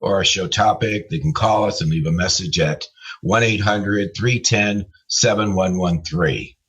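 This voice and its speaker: Low, husky male radio co-host. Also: unhurried, fairly bright tone. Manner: affirming, upbeat sign-offs. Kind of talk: casual radio talk show. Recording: dull lo-fi sound.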